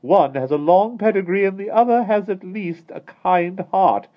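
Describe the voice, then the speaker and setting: A man voicing a fussy, genteel lady with a fluttery, drawn-out way of speaking. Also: high-pitched, muffled recording, pitched above the performer's own voice, lightly acted. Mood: offended. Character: fussy genteel lady, middle-aged, prim.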